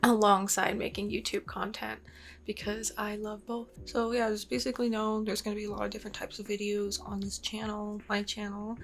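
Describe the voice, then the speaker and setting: A woman's voice: medium pitch, little pitch movement, fairly quick, gravelly, fairly bright tone, soft background music. A woman in her twenties talking casually and openly to her channel, rambling with lots of fillers. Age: 20s. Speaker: YouTuber. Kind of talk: casual personal vlog.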